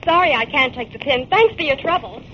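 A plucky girl sidekick in a forties radio mystery. Her voice is high, spirited and inquisitive. The old recording is dull and quite noisy. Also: lively intonation, a little fast. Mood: curious. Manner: questioning, spirited. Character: plucky, inquisitive female detective's assistant. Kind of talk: 1940s radio crime drama acting.

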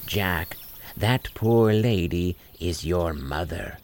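A man's voice: deep voice, a little mellow, slight background noise. A man voicing a mysterious fairy lady in a storybook, solemn and grave as she gives counsel. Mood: solemn, urging. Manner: grave, deliberate.

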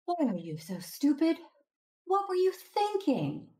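Anxious young Jedi big sister: high voice, very animated, protective, emotional radio drama. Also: female voice, unhurried, heavily acted. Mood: alarmed, scolding. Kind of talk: emotional audio drama acting.

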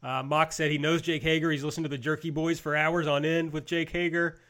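A man with a fairly high speaking voice hosts a casual review discussion. He recaps in a conversational way and gives his opinions bluntly. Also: little pitch movement, fairly quick, a bit bright. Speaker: host of a wrestling review show.

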